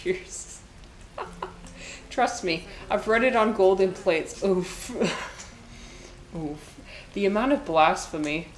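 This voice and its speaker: Woman in her twenties, giggly rambling streamer, lowish voice, roomy echo. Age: 20s. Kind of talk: casual gameplay commentary. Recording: echoey room with faint noise.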